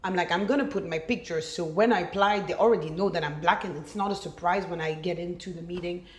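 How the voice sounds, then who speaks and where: A frank young woman from Belgium, speaks English in a slightly low voice. She tells her story in an animated way, full of "like"s and restarts. The room around her rings with a noticeable echo. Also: quick pace. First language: French. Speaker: podcast guest. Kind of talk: casual conversation. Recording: roomy echo.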